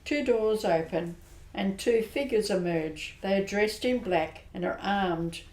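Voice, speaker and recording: A woman narrating an amateur radio play in a plain, measured storytelling manner. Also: medium pitch, a little mellow. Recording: roomy echo.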